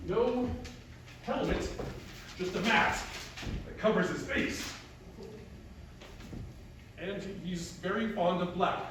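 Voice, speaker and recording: A man's voice: sits high, slow pace, long pauses. An actor playing an eager, earnest male playwright who rambles and gets defensive in theatrical comic dialogue. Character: eager male playwright William pitching his earnest tragic play. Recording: roomy echo, distant mic, faint noise.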